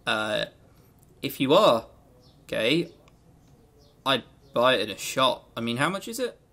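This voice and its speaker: A man's voice: mid-range voice, very animated, a little slow, bright, forceful tone. A man co-hosting a podcast in casual, unscripted chat, rambling and jokey, with some swearing. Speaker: podcast co-host. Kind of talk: casual unscripted visual novel review chat.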